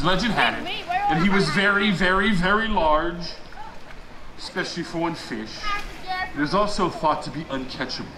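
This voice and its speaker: High-pitched man telling a legend, dramatic pauses. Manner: dramatic pauses, drawn-out emphatic words. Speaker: resort storyteller. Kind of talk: theatrical live storytelling of a legend. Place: outdoor poolside deck at a resort. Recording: roomy, noisy outdoor live recording.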